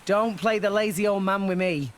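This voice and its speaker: An actor voicing an eager, energetic young salvager. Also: male voice, high voice, moderate pace, lightly acted. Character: cheerful young male salvager. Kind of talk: video game voice acting.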